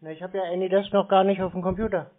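A man's voice: high voice, level tone, very fast. A man playing dumb on a phone call with scammers, turning mocking and irritated. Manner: mock-naive, then mocking and irritated. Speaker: scam-baiting call recipient. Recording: tinny phone line.

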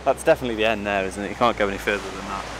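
A man's voice: on the low side, steady tone, rapid-fire. A young man vlogging on a coastal clifftop, chatting casually into a handheld camera in short, laid-back remarks. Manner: laid-back, brief quips. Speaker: travel vlogger. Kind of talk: casual outdoor vlog chat. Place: outdoors on coastal clifftop and shore. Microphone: camera held at arm's length. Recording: wind and surf noise. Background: waves and wind.

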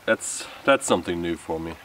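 A man's voice: sits low, animated, moderate pace, a bit bright. A man in his thirties talking casually and unhurriedly to camera as a camping vlogger, rambling a little and poking fun at himself. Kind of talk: casual vlog talk to camera. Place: outdoor wooded campsite. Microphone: camera a few metres away. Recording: distant mic with outdoor background noise.

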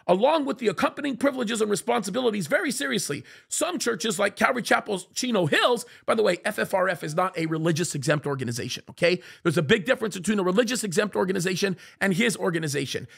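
A man's voice: high voice, vocal fry, a bit bright, slightly rough. A man in his forties delivering indignant, emphatic commentary into a studio mic, reading quotes aloud and rebutting them. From United States. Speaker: pastor commentator. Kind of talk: fiery commentary. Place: small dark studio, leather chair. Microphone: studio mic on boom in front.

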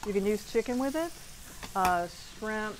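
A chatty middle-aged woman cooks on a TV studio kitchen set, walking through her recipe step by step. Her voice sits at an everyday pitch, picked up on a lapel mic with faint background noise. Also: animated, a little slow. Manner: chatty, laughs easily. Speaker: guest chef. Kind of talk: cooking demonstration.

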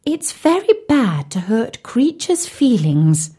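A woman voicing a kind, protective lady swan in a children's story, gentle and coaxing. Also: a little high, very animated, takes it slowly, slightly rough, voice pushed up, heavily acted.

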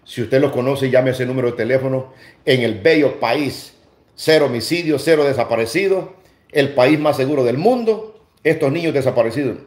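The sound is roomy and echoey, recorded indoors a little way from the device. A middle-aged man with a Salvadoran accent hosts a livestream in a slightly low voice. He talks casually and comes back to the same phrases to drive them home. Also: normal speed, a little mellow. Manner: repeats phrases for emphasis. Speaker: livestream host. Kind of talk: casual livestream chat and commentary. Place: small room indoors.

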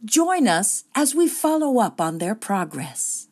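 A woman's voice: mid-range voice, very animated, takes it slowly, a little mellow. A woman playing an earnest nature magazine presenter, narrating a mock-serious parody documentary.

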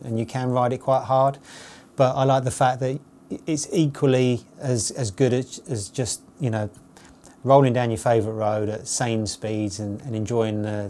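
Male reviewer, forties, relaxed dark timbre, matter-of-fact and conversational, faint noise behind. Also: medium pitch. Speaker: motorcycle road tester presenting a review. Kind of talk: unscripted spoken review to camera. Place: studio with dark backdrop, seated at table.

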